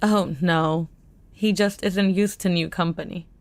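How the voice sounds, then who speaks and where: Concerned mother, audio drama, polite, slow delivery, close dry recording. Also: on the low side, steady tone, dry recording. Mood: subdued, polite. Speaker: actor in a full-cast audio drama. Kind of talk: sci-fi audio drama acting.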